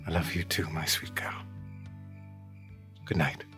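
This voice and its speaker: A man playing a gentle, loving tailor father in an audio drama, tender and hesitant with a breathy voice. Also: extremely low pitch, steady tone, a little slow, faint music behind.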